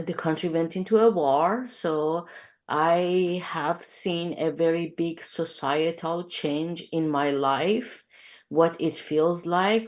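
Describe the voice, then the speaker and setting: A woman in her fifties from Iran, speaking as an interview guest, reflective and thoughtful, pausing often with ums. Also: deep voice, darkish tone, lo-fi sound. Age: 50s. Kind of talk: conversational interview.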